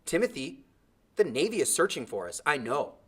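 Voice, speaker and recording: A man's voice: on the high side, very animated, normal speed, husky, voice pushed up, heavily acted. A man voicing an anxious, pleading young castaway boy in a storybook, speaking in an earnest, questioning way. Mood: hopeful.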